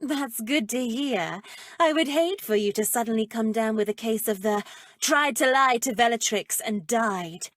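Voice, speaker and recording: A haughty witch who teaches the dark arts, acted by a woman for a video game. Her voice sits fairly high and is recorded close and dry. She draws out her words with arch, theatrical menace and a flirtatious edge. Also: a little slow, no room sound, natural voice. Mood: playful, flirtatious. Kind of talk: video game character voice acting.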